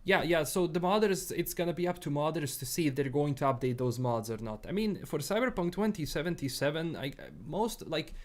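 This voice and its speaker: A man's voice: fairly high pitch, fairly quick, few pauses, a little mellow. A young man from Bosnia with a Bosnian accent, chatting casually on a livestream and rambling with lots of fillers and restarts. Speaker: streamer. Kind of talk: casual livestream chat, reading chat.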